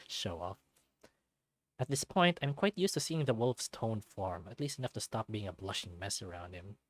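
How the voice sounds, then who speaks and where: A man reading a visual novel aloud in an expressive way, dropping in casual asides of his own. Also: on the low side, normal speed, no echo.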